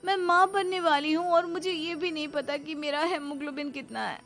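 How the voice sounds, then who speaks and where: Woman doing upset young cartoon daughter-in-law, high voice, stubborn and pleading, music behind. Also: moderate pace, a bit bright. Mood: pleading frustration. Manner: pleading, insistent, indignant. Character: educated, defiant young pregnant daughter-in-law.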